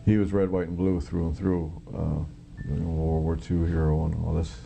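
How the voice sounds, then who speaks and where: A middle-aged American man giving personal testimony in an interview, plain-spoken and a little halting as he recollects. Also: deep voice, level tone, creaky, warm tone, slight background noise. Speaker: former military air traffic controller, witness.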